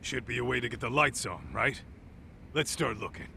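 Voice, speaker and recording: A man voicing a tough, easygoing ex-gangster sidekick in a video game, gruff, gravelly and casually streetwise. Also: moderate pace, bright, forceful tone, slight background noise, heavily acted. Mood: wary, casual. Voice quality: low, gravelly. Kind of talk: video game cutscene acting.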